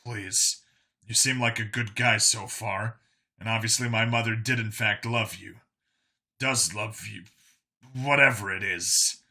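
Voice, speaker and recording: A man's voice: lowish voice, steady tone, unhurried, bright, forceful tone, full character voice. A man voicing a guarded, blunt male cop in an audiobook, speaking with restraint. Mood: uncomfortable. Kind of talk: audiobook character dialogue.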